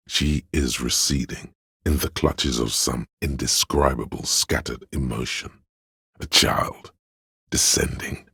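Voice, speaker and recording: A man voicing a pompous, erudite inner voice of artistic imagination in a video game, deliberate and theatrical, with a gravelly, husky voice. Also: very deep voice, fairly bright tone, dry recording, clean studio sound, full character voice. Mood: brooding, contemplative.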